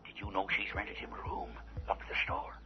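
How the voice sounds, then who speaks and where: An actor playing a bossy, suspicious rural ringleader who questions people gruffly in a 1980s radio horror drama. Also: male voice, fairly low pitch, completely flat delivery, a little fast, close to an everyday voice. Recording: dull radio recording with music.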